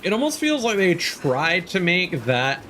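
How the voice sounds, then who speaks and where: A man's voice: high-pitched, very animated, measured pace, sharp tone, slight background noise. A man giving casual live commentary as he streams a video game, thinking aloud hesitantly.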